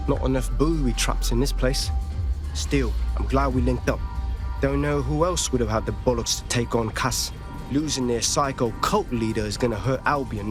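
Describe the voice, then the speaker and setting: Game cast: man as streetwise London freelancer, mid-pitched, cocky, slangy, light music behind. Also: lightly acted. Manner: breezy, slangy. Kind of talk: game cutscene acting.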